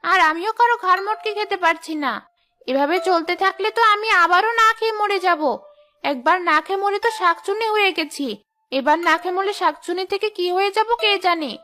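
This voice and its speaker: A woman's voice: very high-pitched, brisk, sharp tone. A woman voicing a hungry, scheming man-eating ghost-witch in a cartoon, boastful as she talks to herself. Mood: brooding, hungry. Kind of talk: Bengali cartoon voice acting.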